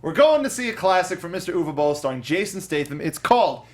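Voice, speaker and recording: A man's voice: high voice, sing-song, fairly quick. A man co-hosting a casual movie review show, talking enthusiastically and rambling with fillers. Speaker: podcast co-host.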